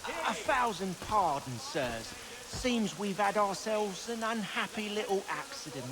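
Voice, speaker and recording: An actor in a video game playing a sly, roguish underling with a cheeky, drawling manner. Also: male voice, sits high, sing-song, a little slow. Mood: fawning. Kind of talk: dramatic video game acting.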